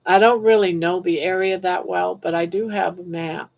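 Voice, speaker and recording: An older woman from Maine with a southern Maine accent, hosting a casual livestream chat while reading viewer comments in a rambling, self-correcting way with soft trailing endings. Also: fairly low pitch, fairly even intonation, moderate pace, vocal fry, dull audio. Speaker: livestream host.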